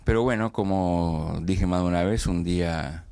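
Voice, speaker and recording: A man's voice: deep voice, normal speed, creaky, warm tone, clean tone, no room sound. A man interviewing a guest in a small studio, hesitant and full of fillers and restarts. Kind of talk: conversational TV interview.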